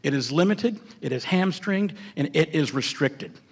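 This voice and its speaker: Male debater, husky and highish voice, emphatic list-heavy political delivery. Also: lively intonation, measured pace. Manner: emphatic, piling up adjectives in lists. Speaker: mayoral candidate. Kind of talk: debate answer. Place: public forum venue.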